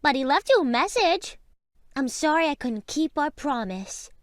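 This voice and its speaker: A woman voicing a small, wistful cat creature in a fan dub of a game, speaking softly. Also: high voice, sing-song, moderate pace. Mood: subdued.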